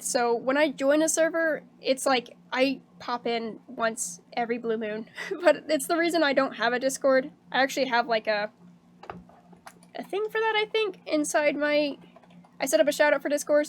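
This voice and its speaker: A bubbly woman streamer with a high voice chats casually into a desk mic, laughing a lot and filling pauses with "um" and "like." Her voice sounds a little hoarse, with a faint hiss of background noise behind her. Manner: bubbly and chatty, laughs often, many fillers like "um" and "like". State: slightly hoarse. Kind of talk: casual stream chat reading out viewer messages.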